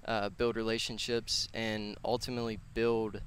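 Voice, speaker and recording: A man's voice: on the low side, flat delivery, normal speed, a bit bright, clear, resonant tone. A man in his twenties talking casually and earnestly as an interview guest, rambling and slangy, on a headset mic outdoors. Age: 20s. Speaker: podcast guest, personal trainer and gym owner. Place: outdoors on a concrete patio.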